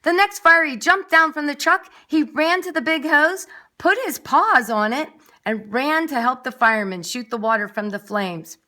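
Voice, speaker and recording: A woman reads a picture book aloud for young children. Her voice is bright and fairly high. She is expressive, leaning on dramatic words and adding small asides as she goes. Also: moderate pace. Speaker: nursery school story reader. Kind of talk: children's storybook read-aloud.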